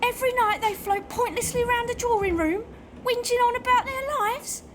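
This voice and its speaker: A woman playing a ruthless, vain, sharp-tongued schemer in an audio play. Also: very high-pitched, background noise. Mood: cold, contemptuous. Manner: brisk, sharp-tongued, dismissive.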